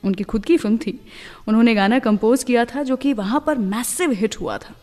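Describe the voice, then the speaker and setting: A woman's voice: on the high side, moderate pace, darkish tone, long reverb tail, soft background music. A woman hosting a radio show, presenting in a friendly, chatty scripted style with English words mixed in.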